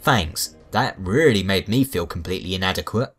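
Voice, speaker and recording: A man's voice: deep voice, animated, normal speed, a bit bright, husky. A man giving a scripted video game review with wry, sarcastic asides. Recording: music behind the voice. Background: background music.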